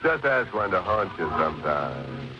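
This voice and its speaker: An actor playing a gleefully macabre radio horror host in sardonic, mock-friendly, chuckling 1940s style. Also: male voice, sits low, very animated, unhurried. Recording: dull 1940s radio recording with music.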